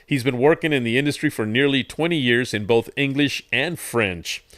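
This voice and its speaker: A man's voice: medium pitch, normal speed, a bit bright, no echo, clean studio sound. A man hosting an interview, upbeat and jokey in his chat. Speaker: host. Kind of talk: friendly interview.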